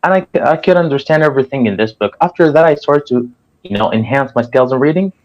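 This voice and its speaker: A man's voice: medium pitch, animated, quick pace. A young Egyptian man with an Egyptian accent, a native Arabic speaker, talking as a guest in an earnest way and filling his speech with "like" and "you know". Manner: earnest, filler-heavy with frequent "like" and "you know". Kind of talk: casual conversation. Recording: slightly dull, muffled audio.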